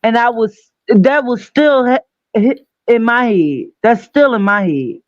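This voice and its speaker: A woman's voice: fairly high pitch, expressive, slow, no echo, dull audio. A woman from Trinidad, a caller in a casual live chat, insistently repeating her point in a heated debate.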